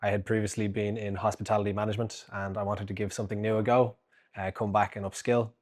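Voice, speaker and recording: A man in his twenties, a graduate student, giving a reflective testimonial with frequent pauses and fillers. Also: fairly low pitch, little pitch movement. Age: 20s.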